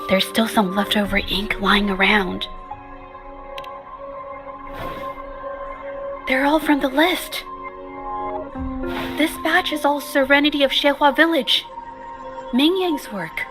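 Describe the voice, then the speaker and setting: A gentle, shy young woman painter from a video game, as an actress voices her. She speaks softly and hesitantly, trailing off mid-thought, in a fairly high voice. Game music plays behind her. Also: a little slow, long pauses, natural voice. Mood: thoughtful. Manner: soft-spoken, hesitant, trailing off.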